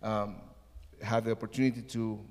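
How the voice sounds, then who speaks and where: A man's voice: lowish voice, little pitch movement, a little mellow. Earnest Ecuadorian man, echoing hall. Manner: earnest, halting with many fillers. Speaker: missionary. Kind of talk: church missions update.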